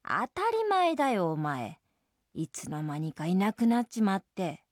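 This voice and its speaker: A woman's voice: fairly low pitch, animated, unhurried, darkish tone, no echo, clean studio sound. A woman voicing a stern mother jellyfish in a storybook, scolding bluntly in folksy motherly words but caring underneath.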